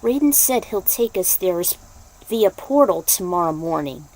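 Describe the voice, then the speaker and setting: A woman voicing a gentle, reassuring young male warrior monk in an amateur story reading. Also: fairly low pitch, expressive, normal speed, rough recording. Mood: soft, reflective.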